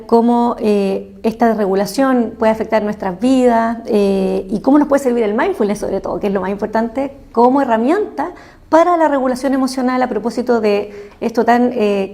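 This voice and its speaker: A woman hosting an interview, asking long, measured questions. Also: fairly high pitch, gravelly, mellow. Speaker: host.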